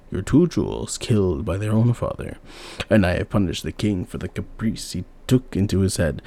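A man with a gravelly voice voicing a stern old father, a sorcerer, proclaiming his judgment. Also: low-pitched, darkish tone. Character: powerful, vengeful but forgiving old father sorcerer.

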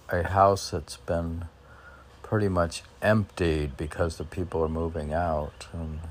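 Very deep, creaky-voiced man, slow and musing. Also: slight background noise. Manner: halting, musing delivery, talking to himself. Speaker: poet reading and commenting on own poems for a podcast. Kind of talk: informal poetry reading with rambling self-reflective commentary.

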